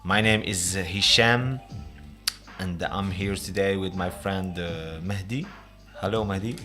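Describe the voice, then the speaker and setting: A man with an Algerian accent hosting a casual interview, halting as he searches for his words. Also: sits low, over music. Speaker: podcast host, interviewer.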